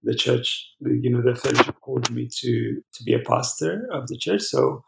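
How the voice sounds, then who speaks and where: A gravelly-voiced man speaks as a pastor guest, stopping often and restarting his sentences. The recording has a noticeable roomy echo around him. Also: mid-range voice, very noisy. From Ethiopia. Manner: halting, many fillers and restarts. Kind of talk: conversational interview answers.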